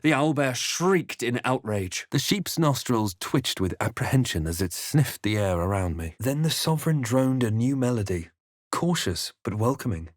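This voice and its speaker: A man voicing a grave, watchful fantasy hero in a video game, with measured, dramatic delivery. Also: mid-range voice, lively intonation, no echo, studio quality, natural voice. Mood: grave, narrating. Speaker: video game player character voice actor. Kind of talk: scripted game narration and character lines.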